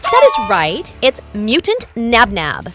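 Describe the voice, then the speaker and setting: A woman's voice: a little high, wildly swooping pitch, takes it slowly, music in the background. A woman hosting a quiz, reading short scripted questions and answers. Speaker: quiz host.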